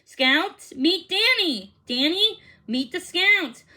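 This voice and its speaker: A woman's voice: very high-pitched, animated, slow pace, pushed and bright, voice pushed up, heavily acted. A woman voicing a hearty man who leads scouts, making welcoming announcements in a children's storybook. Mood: cheerful announcing. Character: Mister Baker, hearty man leading boy scouts.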